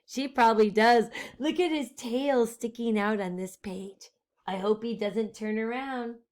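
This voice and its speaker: A woman doing an expressive children's read-aloud, pausing the story to ask listeners questions. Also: on the high side. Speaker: storytime reader, teacher.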